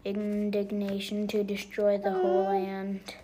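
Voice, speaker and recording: A young reader reading scripture aloud haltingly, stumbling over the hard words. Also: mid-range voice, completely flat delivery, mellow. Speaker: family member taking a turn reading. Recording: clearly noisy home recording.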